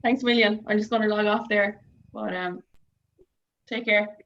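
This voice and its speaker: A woman's voice: mid-range voice, fairly even intonation, normal speed, a bit bright. A woman chatting casually as a participant in a group workshop. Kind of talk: casual chat. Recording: dull call audio, faint background noise.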